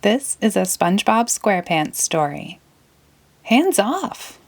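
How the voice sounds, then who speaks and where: A woman reading a children's story aloud in an expressive, deliberate way. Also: mid-range voice. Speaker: storybook reader. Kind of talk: children's read-aloud narration.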